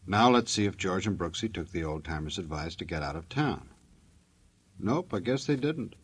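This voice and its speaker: Low, husky, animated American announcer man. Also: moderate pace. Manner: folksy, tongue-in-cheek, wisecracking. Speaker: radio announcer. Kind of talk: 1940s radio scripted narration.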